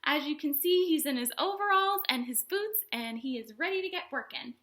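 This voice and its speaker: A woman hosting a storytime for young children, teaching in simple words with an animated, sing-song manner and questions to her small viewers. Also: high voice, normal speed. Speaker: children's librarian, storytime host.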